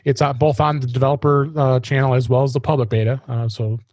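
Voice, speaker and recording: A man hosting a casual tech podcast, halting and often restarting his phrases. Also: mid-range voice, little pitch movement, darkish tone, no echo. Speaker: podcast host.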